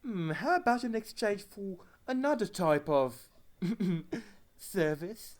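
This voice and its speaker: A woman's voice: medium pitch, big pitch swings, slow pace, a little mellow. A woman voicing a melodramatic, affected, glamorous fashionista pony in a parody cartoon dub, gushing theatrically. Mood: wheedling.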